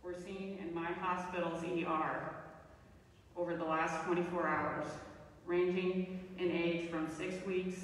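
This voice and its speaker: A woman's voice: lowish voice, flat delivery, unhurried. A middle-aged woman, a radiologist, reading a formal, matter-of-fact public comment at a school board meeting. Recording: echoey hall, distant mic, faint hiss.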